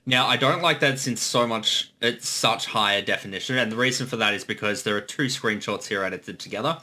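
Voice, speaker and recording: A man's voice: on the low side, fairly quick, sharp tone. A man giving casual creator commentary, talking conversationally about his own series.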